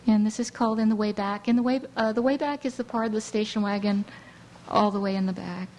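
A woman poet raised speaking Hawaiian Pidgin English, giving a measured reading of her poems with halting asides between them. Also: medium pitch, steady tone, darkish tone, a little hiss or hum. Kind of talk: poetry reading to an audience.

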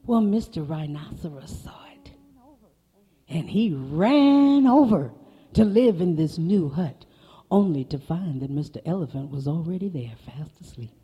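A woman's voice: sits low, mellow, breathy edge. A woman telling folk tales to an audience, dramatic, dropping into whispers for effect. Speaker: storyteller. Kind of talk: folk tale storytelling performance.

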